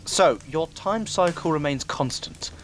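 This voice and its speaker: Man acting as a measured, analytical time traveller. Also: on the high side, lively intonation. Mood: measured, explaining. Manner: measured, formal explaining. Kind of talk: audio drama acting.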